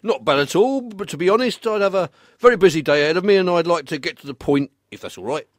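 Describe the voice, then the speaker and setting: A man's voice: sits high, lively intonation, fast, no echo, clean studio sound. Man acting a bemused handyman, swooping intonation. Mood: polite, eager. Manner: polite, hesitant, puzzled. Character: obliging, bemused male maintenance worker, eager to please. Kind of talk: short comic radio play acting.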